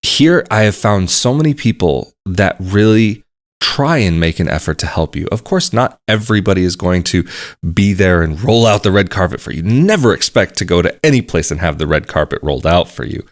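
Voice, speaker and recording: A man talking casually to the camera, explaining things at a measured pace and repeating phrases for emphasis. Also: deep voice, lively intonation. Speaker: vlogger.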